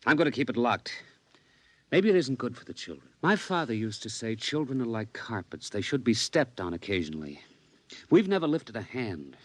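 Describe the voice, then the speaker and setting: Worried, stern 1950s radio dad. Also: male voice, mid-range voice, fairly bright tone, no room sound. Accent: American. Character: worried, stern suburban father of two. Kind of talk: 1950s radio drama acting. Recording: vintage radio recording with music behind.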